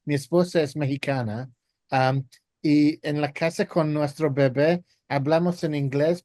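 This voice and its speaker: A man's voice: mid-range voice, a little mellow, no echo. A man from England with an English accent, a native English speaker, asking questions informally and tentatively from the audience at an academic talk.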